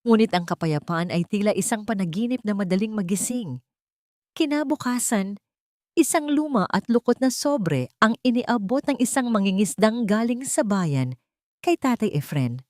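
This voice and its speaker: A woman's voice: medium pitch, lively intonation, normal speed, darkish tone, no echo, clean studio sound. A woman reading a scripted dramatic story as an audiobook narrator.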